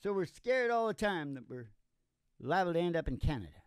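A man's voice: on the high side, very animated, brisk, clear, resonant tone, no room sound, studio quality. An older man hosting in casual, joking, folksy banter that rambles along at a stand microphone. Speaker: podcast host and musician. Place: indoor small curtained stage studio.

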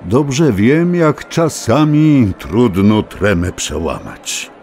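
A man narrating a children's tale in a theatrical, dramatic, expressive way. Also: lowish voice, drawn-out words, soft background music. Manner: dramatic, expressive, addresses listeners. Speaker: storyteller narrator. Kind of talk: theatrical scripted children's storytelling.

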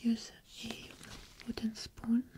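A woman plays a medical examiner in an ASMR roleplay, whispering softly into a close microphone. Her delivery is slow and very even, with short, gentle instructions and unhurried gaps between them. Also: fairly high pitch.

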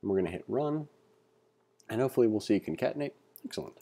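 Man, programming instructor, lowish pitch, dark mellow tone, informal read-along narration, thinking aloud. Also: expressive, fast talker. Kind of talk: read-along tutorial narration with casual asides. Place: indoors, screen-recording voice-over.

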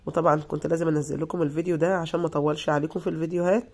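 Hoarse Egyptian woman, rapid cooking voice-over. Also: low voice, little pitch movement, darkish tone. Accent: Egyptian Arabic. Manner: chatty, reassuring, repeats herself. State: hoarse from illness. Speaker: cooking channel host.

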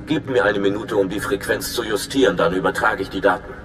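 Earnest low-voiced agent played by an actor, echoing. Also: male voice, steady tone, a little fast, a bit bright, slight background noise, close to an everyday voice. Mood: calm, confiding. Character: earnest young male undercover agent, conscientious and worried. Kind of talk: dramatic video game acting.

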